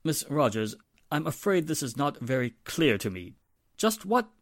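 A man's voice: a little high, sing-song, no echo, studio quality. An actor playing a haughty, formal, wealthy father in a 1940s-style radio mystery. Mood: concerned, formal. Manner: formal, pompous, measured. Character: polished, haughty wealthy father, secretly guilty.

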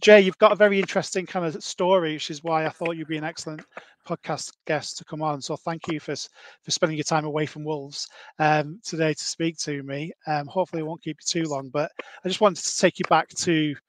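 A man's voice: a little high, fairly even intonation, fairly quick, dry recording. A man in his thirties hosting a casual podcast interview, asking long rambling questions with many fillers. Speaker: podcast host.

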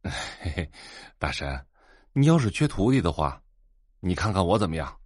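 An actor playing an eager, fawning young computer shop owner in an audio drama, with an excitable, ingratiating manner. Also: male voice, deep voice, moderate pace, no echo, studio quality. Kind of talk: Chinese audio drama acting.

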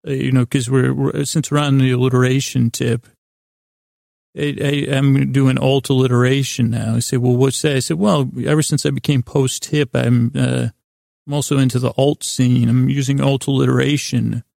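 A man with an extremely creaky, gravelly voice hosts a bedtime podcast in a very dry recording. His improvised monologue is halting and meandering, full of self-deprecating digressions. He often drifts into imagined exchanges, voicing both sides as himself. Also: medium pitch, flat delivery, darkish tone, no echo. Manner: acts out imagined back-and-forth dialogue in his own voice. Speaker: podcast host.

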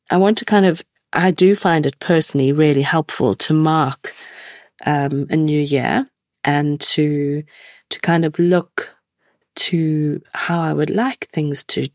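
Croaky forties woman hosting her podcast, gentle and searching, lots of little pauses, dry close sound. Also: low voice, no room sound. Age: 40s. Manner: gentle, musing, with many hesitations and restarts. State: croaky voice from a cold. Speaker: podcast host. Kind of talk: reflective solo podcast talk.

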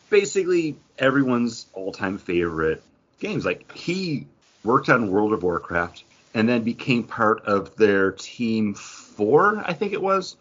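Casual male podcast host, low husky voice, very animated intonation, slightly dull recording.

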